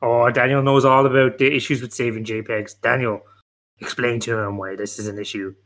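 A man's voice: medium pitch, level tone, fairly quick, a bit bright, close to an everyday voice. A man acts out a police detective in a comic radio play. He is mock-stern and patronising, rambling through his interrogation in a folksy, stop-start way.

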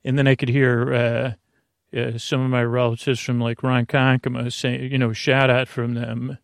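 Man, creaky gravelly voice, podcast host, lulling bedtime ramble, halting and meandering, dry close sound. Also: medium pitch, level tone, no echo. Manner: meandering, halting, lulling delivery with frequent digressions.

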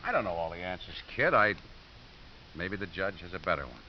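A man's voice: low-pitched, lively intonation, fairly quick. A man playing a tough but tender coach and father in a 1950s radio drama, colloquial, fatherly and American. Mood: tender, rueful. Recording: hissy muffled 1950s radio recording.

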